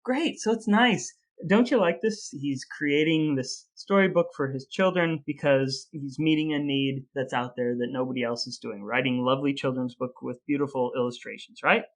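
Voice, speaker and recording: A man's voice: mid-range voice, normal speed, hardly any pauses. A man hosting a podcast, telling stories casually with a wry, playfully sarcastic manner. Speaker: podcast host. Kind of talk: casual storytelling talk.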